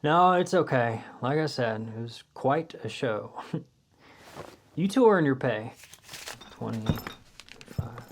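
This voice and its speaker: A man's voice: medium pitch, lively intonation, slow pace, long pauses, slight background noise. A man playing a doubtful, plainspoken, folksy client who has been haunted, in an audio drama. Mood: polite. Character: Otis, skeptical haunted male client, plainspoken. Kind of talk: audio drama character acting.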